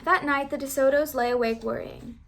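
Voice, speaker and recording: A woman reading a children's story aloud as an expressive narrator. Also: sits high, normal speed, slight background noise.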